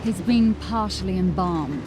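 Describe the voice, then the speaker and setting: A woman's voice: lowish voice, unhurried, noisy background, lightly acted. A woman playing a level-headed watch officer. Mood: clinical. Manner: practical, matter-of-fact. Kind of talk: audio drama acting. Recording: music bed under the voice. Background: music.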